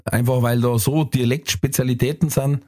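A man with a Bavarian accent chats on a podcast, relaxed and storytelling. His pitch sits in the middle of the usual range. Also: normal speed. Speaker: comedian and podcast co-host. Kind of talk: casual chat.

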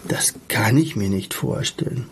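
A man voicing Everyday Life as a modest, doubtful, gentle male wanderer in a storybook reading. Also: fairly low pitch, animated, unhurried, creaky, a little hiss or hum. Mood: doubtful, surprised.

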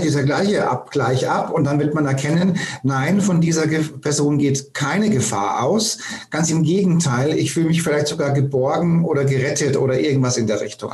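A man speaking as a guest expert, explaining his ideas while stumbling over repeated words as he searches for the next one. His voice sits a bit higher than most men's. The recording has a roomy echo. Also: darkish tone. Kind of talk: conversational explaining.

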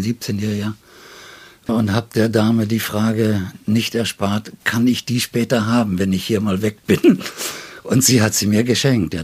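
A man in his seventies from northern Germany, an interview guest telling stories in a reflective, deliberate, wry way. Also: fairly low pitch, darkish tone, husky. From Friesland, northern Germany. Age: 70s. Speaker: poet and literary editor, interview guest. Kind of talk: reflective conversational storytelling. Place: indoors, in his home study.

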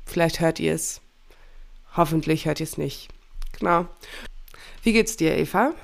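A young woman hosting a relaxed podcast, her voice a little low and slightly stuffy from a cold. She chats easily, laughs often and corrects herself as she goes. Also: unhurried, creaky. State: has a cold. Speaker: podcast host. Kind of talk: casual chat.

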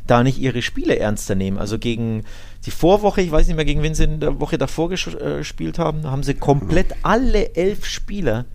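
A man's voice: fairly high pitch, expressive, a little fast, creaky, a little mellow. A man hosting a football podcast, chatting casually with colloquial, opinionated phrasing. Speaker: podcast host.

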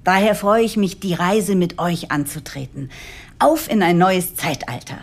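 An eager, idealistic new CEO in a radio play. Her voice is lowish and husky with some creak, and it moves in lively swings as she earnestly delivers her corporate vision. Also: measured pace. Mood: motivated, persuasive. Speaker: lead character and narrator. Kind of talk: German audio drama narration and dialogue.

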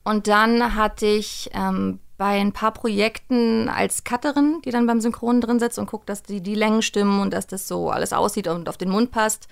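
A woman's voice: medium pitch, steady tone, moderate pace, gravelly, hardly any pauses, smooth. A woman chatting casually and informally as an interview guest, talking like someone who works in voice acting. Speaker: interview guest, voice actor and audio drama director.

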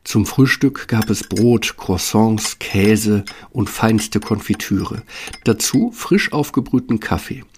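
A man's voice: lowish voice, takes it slowly, a little mellow, slight background noise. A man narrating a scripted children's fairy tale as a storyteller.

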